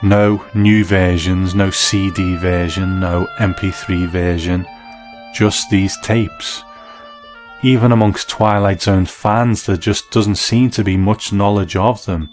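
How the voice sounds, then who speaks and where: A man's voice: low voice, fairly even intonation, slow pace, soft background music. A man hosting and narrating a scripted documentary, putting questions to a guest. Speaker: host and interviewer.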